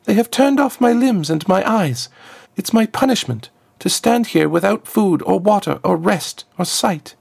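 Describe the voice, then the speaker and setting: A man's voice: sits high, lively intonation, a little slow, a little mellow, voice pushed up, heavily acted. Man doing a desperate strained young woman. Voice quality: strained, raspy. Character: young woman trapped in a brass frame, desperate.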